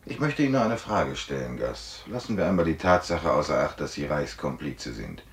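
An actor playing a shrewd, relentless police investigator in a science fiction radio drama, speaking with insistent, deliberate emphasis. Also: male voice, rumbling low, big pitch swings, far from the mic, heavily acted. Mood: accusing, confident. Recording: roomy studio echo.

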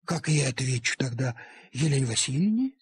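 A man reading a literary animal story aloud in a theatrical, deliberate manner with dramatic pauses. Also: mid-range voice, big pitch swings, slightly rough, no room sound. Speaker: storyteller, audiobook reader. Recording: cassette transfer of audio play.

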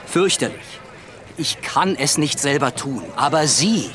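A man's voice: a little high, lively intonation, a little slow, background noise. A male actor playing a proud, indignant ship's captain in a radio drama, speaking bluntly and emphatically. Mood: indignant, scornful. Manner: haughty, emphatic.

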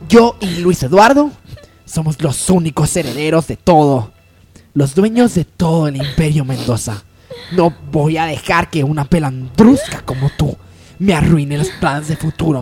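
A woman's voice: low-pitched, a little mellow. Woman acting a scheming telenovela villainess, wildly swooping voice. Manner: menacing, melodramatic. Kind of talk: amateur radio soap opera acting.

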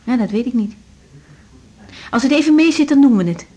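A woman's voice: sits high, lively intonation, brisk, mellow, slightly rough. A young woman acting a patient, tactful doctor in a 1980s naturalistic radio drama, explaining things carefully and reassuringly. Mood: calm, careful. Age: young adult.

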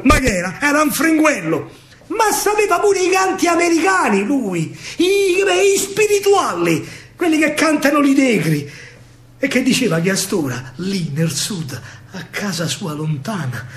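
A man with a Roman accent performing a theatrical verse monologue in dialect, expressive, dramatic and colloquial. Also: high-pitched. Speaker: stage actor and storyteller.

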